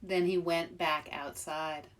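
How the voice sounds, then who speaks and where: A woman reading aloud at children's story time as an expressive, dramatic storyteller. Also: low-pitched, slow pace, distant mic, slight background noise. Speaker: author reading aloud, host.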